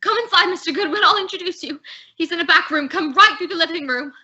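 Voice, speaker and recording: A woman's voice: very high-pitched, fast, highs cut off, noisy background. A young woman voicing a distraught young woman in an amateur radio play, emotional and frantic. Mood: bitter. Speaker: high school drama student.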